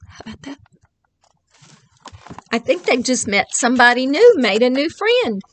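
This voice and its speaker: A woman, reading a picture book aloud to children and pausing to ask them simple questions. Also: high-pitched, big pitch swings, a little hiss or hum. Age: 60s. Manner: questioning, explains simply to young listeners. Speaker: children's librarian reading at storytime. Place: indoors, small decorated library room.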